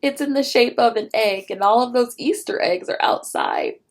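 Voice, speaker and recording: A woman reads a children's story aloud in a higher-pitched voice that moves around a lot. She is expressive and animated, and she pauses to speak directly to her young listeners with questions. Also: vocal fry. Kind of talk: expressive children's picture book read-aloud with asides to listeners.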